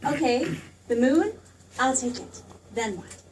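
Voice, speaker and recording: Lady playing sweet playful small town girl, high voice, radio play, far from mic. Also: lively intonation, takes it slowly. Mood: playful, curious. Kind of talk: old-time radio drama stage acting. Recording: distant stage mic with background noise.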